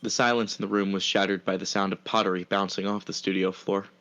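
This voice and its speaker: A man's voice: sits low, level tone, quick pace, fairly bright tone. A man playing a sympathetic, smitten art student who tells his story in an earnest, tender manner. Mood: tender storytelling. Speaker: narrator and actor in audio fiction. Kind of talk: first-person story narration and dialogue.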